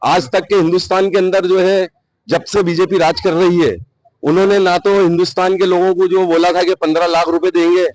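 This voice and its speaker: A man's voice: high-pitched, little pitch movement, a little fast, a little mellow, no room sound, rough recording. A man giving argumentative, insistent opinions in an interview. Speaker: member of the public interviewed. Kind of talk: opinionated street interview answers.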